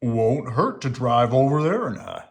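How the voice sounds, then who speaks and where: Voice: mid-range voice, big pitch swings, unhurried. A reader voicing an easygoing, folksy papa bear. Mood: encouraging.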